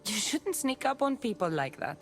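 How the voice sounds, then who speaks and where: A woman's voice: a little high, big pitch swings, normal speed, faint music behind. An actress playing a weary, wry and compassionate warrior woman in a video game. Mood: gentle, teasing. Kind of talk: dramatic video game acting.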